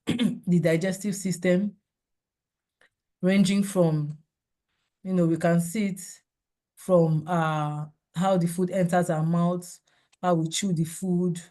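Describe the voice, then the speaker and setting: A woman with a cold, hoarse and clearing her throat now and then, giving a measured slide-based health seminar over a video call. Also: on the low side, dark tone. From Nigeria. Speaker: seminar speaker. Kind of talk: online health talk with slides.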